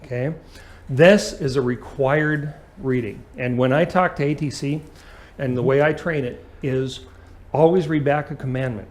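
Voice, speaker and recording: A man's voice: medium pitch, moderate pace, few pauses, a little mellow. A man teaching an aviation lesson as a flight instructor, explaining things and checking understanding with short questions.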